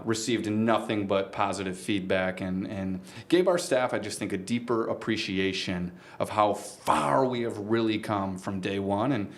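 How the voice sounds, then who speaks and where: A man co-hosting a podcast in a conversational way, speaking in wordy, measured sentences with deliberate pauses. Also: on the low side, vocal fry. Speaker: podcast co-host and golf business co-founder.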